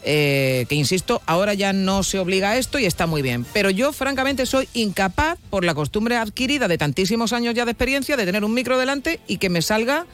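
Fast-talking Andalusian woman radio host. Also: medium pitch, lively intonation, faint music behind. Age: older. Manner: quick, chatty, with frequent "eh" fillers. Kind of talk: live radio chat.

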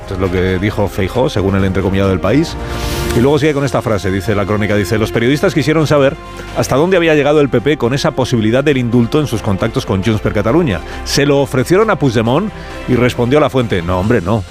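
Male radio host, Castilian accent. Wry, chatty press review delivered over a clear music bed. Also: medium pitch, lively intonation, quick pace. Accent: Castilian Spanish. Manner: conversational, wry asides and rhetorical questions. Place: radio studio. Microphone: studio broadcast microphone.

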